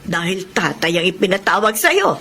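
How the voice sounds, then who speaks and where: A woman's voice: mid-range voice, big pitch swings, normal speed. An actress playing a practical, caring middle-aged woman in a radio drama. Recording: noisy radio drama recording.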